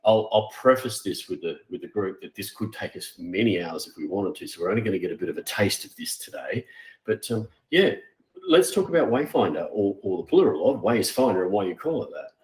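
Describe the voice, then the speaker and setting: A man hosting an interview, speaking deliberately with hesitant restarts and repeated words. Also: lowish voice, expressive, darkish tone. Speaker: interview host. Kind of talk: conversational interview. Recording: roomy echo.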